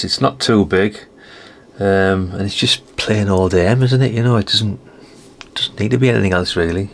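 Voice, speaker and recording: British male hobbyist vlogger, fifties, gravelly low voice, rambling and informal, musing aloud with plenty of tag questions. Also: a bit bright. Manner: musing, unhurried, frequent tag questions and self-corrections. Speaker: radio hobbyist presenting a video. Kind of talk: casual rambling vlog chat.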